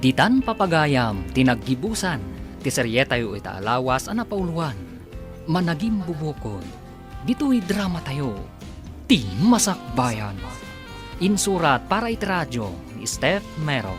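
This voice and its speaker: A man's voice: fairly high pitch, big pitch swings, unhurried. A man with an Ilocano accent reading scripted closing credits as a radio drama announcer. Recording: music under the voice. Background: music with guitar.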